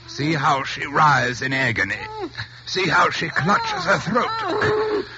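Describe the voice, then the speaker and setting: An actor playing a sinister, condescending brother-in-law with a velvety voice and precise manner in a 1940s radio suspense drama. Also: male voice, on the high side, sing-song, slow pace. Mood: coolly menacing. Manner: condescending, coolly taunting, precise. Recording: muffled, noisy 1940s radio recording.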